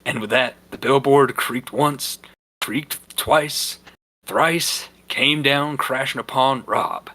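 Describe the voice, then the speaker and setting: Guy reading a fanfic dramatically for laughs, sharp bright voice, kinda stumbly. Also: mid-range voice, slow, a little hiss or hum. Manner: stumbling, breaks into amused asides. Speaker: narrator. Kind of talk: amateur fan fiction reading, dramatic comic narration. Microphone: voice chat on a computer or headset mic.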